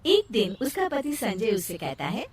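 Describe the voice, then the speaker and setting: A woman's voice: on the high side, big pitch swings, normal speed, recorded from a distance. A woman narrating a scripted storybook tale.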